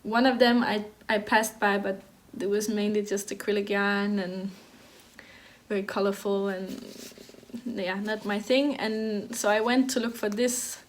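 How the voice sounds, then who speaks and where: A woman's voice: mid-range voice, unhurried, slight background noise. A woman in her thirties from Denmark, hosting a knitting podcast in a casual, hesitant way, with many fillers and restarts. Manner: even, flat delivery. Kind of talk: casual chat.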